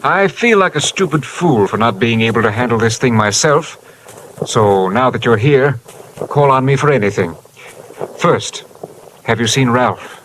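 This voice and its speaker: An actor playing a formal, earnest doctor in a 1950s radio drama. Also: male voice, mid-range voice, lively intonation, unhurried, a bit bright, rough recording. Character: proper, educated male doctor. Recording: old radio broadcast with hiss.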